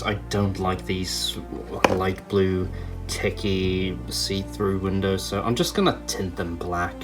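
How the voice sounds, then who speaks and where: Low-pitched man chatting through a hobby project. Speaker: toy customizer hosting a hobby video. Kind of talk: casual hands-on commentary while painting. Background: background music.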